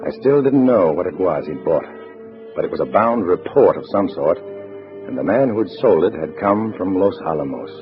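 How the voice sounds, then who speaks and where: An actor playing a tough, wary undercover agent in a 1950s radio drama, with a clipped, wry, hard-boiled delivery. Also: male voice, deep voice, expressive, moderate pace. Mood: grim narrating. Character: tough, wary male undercover agent posing as communist comrade. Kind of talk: 1950s radio drama acting with first-person narration. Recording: muffled old radio recording, loud music.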